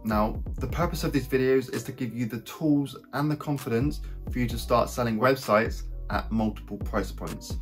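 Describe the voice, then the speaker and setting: A man in his thirties speaks at a middle pitch, his voice a bit croaky after a cold. He talks upbeat and direct to camera from a small home office, calling his viewers "guys". Faint guitar music plays softly underneath. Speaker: web design channel host. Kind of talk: scripted talking-head tutorial.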